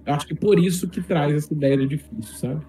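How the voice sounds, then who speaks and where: Man, Brazilian accent, dark mellow tone, casual explainer, roomy echo, music behind. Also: fairly high pitch, fast. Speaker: podcast guest, game master. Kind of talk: casual explanatory podcast talk. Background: background music.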